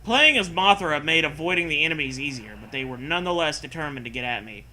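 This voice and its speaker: A man's voice: a little high, expressive, quick pace, pushed and bright, a little hiss or hum, poor audio. A man reading a story aloud and breaking in with sarcastic, sweary casual commentary. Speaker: host reading and mocking fan fiction.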